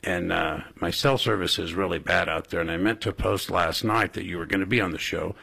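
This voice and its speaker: A man's voice: deep voice, steady tone, a little fast, gravelly, pushed and bright, slightly rough. A man hosting a radio talk show, interviewing a candidate in a rambling, jokey way with many restarts. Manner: rambling, repeats words, jokey. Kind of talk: casual interview.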